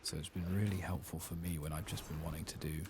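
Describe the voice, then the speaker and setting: A man speaking softly and often whispering in ASMR style as he comments on a game he plays, close to the microphone. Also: extremely low pitch, fairly even intonation, background noise. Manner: hushed, reads quest text aloud slowly. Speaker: ASMR gaming streamer narrating a playthrough.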